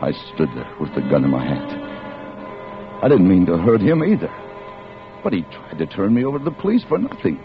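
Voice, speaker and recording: Low-voiced, bitter crook, old radio. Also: male voice, sing-song, brisk, long pauses, over music. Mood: tense, confessional. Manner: brusque, world-weary, hard-boiled. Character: bitter, restless crook husband, confessing his story. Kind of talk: 1940s-50s radio drama acting and first-person narration. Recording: muffled old radio recording.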